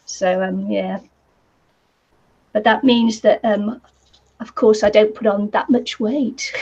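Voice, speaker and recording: Croaky-voiced New Zealand woman crafting. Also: medium pitch, a little mellow. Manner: chatty, rambling, frequent ums and little laughs. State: croaky voice from the heat. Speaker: craft vlogger hosting a live stream. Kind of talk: casual chat while crafting. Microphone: computer or camera mic with a fan nearby. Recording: slightly dull lo-fi sound.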